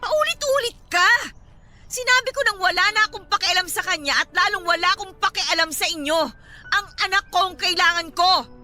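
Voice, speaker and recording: A woman's voice: extremely high pitch, fairly quick, pushed and bright, exaggerated voice. A woman playing a desperate, angry young mother in a Filipino radio drama, heated, sarcastic and emphatic with a Filipino accent. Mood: exasperated, angry. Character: desperate, angry young mother confronting her cruel rival. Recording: dramatic music bed behind the voice.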